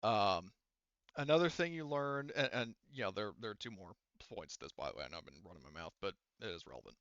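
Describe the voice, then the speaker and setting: A man's voice: lowish voice, animated, fast, fairly bright tone, no echo, highs cut off. A man narrating a tabletop game as game master in a casual, improvised, rambling and sometimes sweary way.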